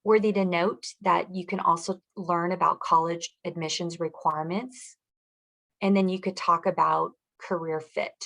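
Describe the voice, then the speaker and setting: A woman with a slightly low voice gives an informational presentation. She explains things step by step, in the instructional manner of a school district director guiding an audience through web pages. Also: fairly even intonation, moderate pace, vocal fry, a bit bright.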